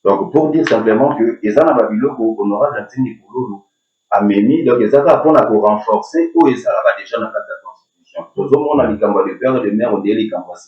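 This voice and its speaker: A man's voice: fairly low pitch, fairly quick. Distant Congolese man in a roomy hall. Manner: switches between French and Lingala, hesitant, many restarts. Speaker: panel speaker. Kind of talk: public debate commentary. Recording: roomy, distant, dull lo-fi sound.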